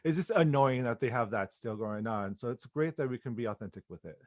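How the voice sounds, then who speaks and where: A man's voice: medium pitch, animated, a little fast, a little mellow, no room sound. Enthusiastic, informal man in a dry recording. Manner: effusive, informal, peppered with "like" and slang. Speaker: interviewed playwright and theatre director. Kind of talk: casual interview chat.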